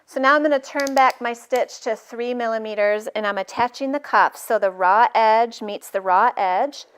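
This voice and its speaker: A woman's voice: a little high, moderate pace, clean tone. A middle-aged woman hosting a live sewing tutorial in her studio, chatting casually and joking at her own expense as she works. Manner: chatty, self-deprecating, jokey asides.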